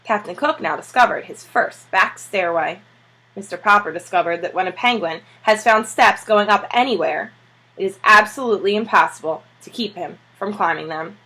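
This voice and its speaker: A woman reading a children's storybook aloud with expressive narration, like a teacher reading to pupils. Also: medium pitch, normal speed, poor audio.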